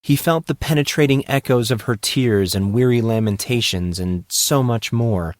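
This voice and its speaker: A man's voice: on the low side, dry recording, studio quality. A man narrating a story as a scripted dramatic audiobook reading.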